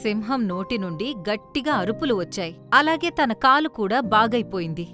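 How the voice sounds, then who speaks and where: A woman's voice: a little high, lively intonation, moderate pace, music in the background. A woman reading scripted narration for a children's story.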